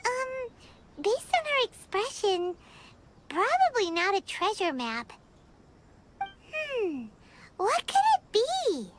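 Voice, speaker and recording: Casting: tiny chirpy fairy companion, game role, extremely high childlike voice, wildly animated, music underneath. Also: female voice, slow, pauses often, noisy background, full character voice. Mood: excited, curious. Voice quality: squeaky, childlike. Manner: animated, refers to herself in third person. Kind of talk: English game voice acting.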